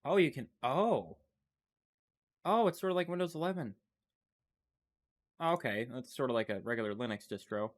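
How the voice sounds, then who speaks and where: A man's voice: medium pitch, expressive, moderate pace, long pauses. A man with a congested, stuffy voice, casually commenting as he clicks through a computer demo and thinks aloud. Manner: thinking aloud, loose and unscripted. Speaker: tech channel host. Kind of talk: casual screen-recording commentary.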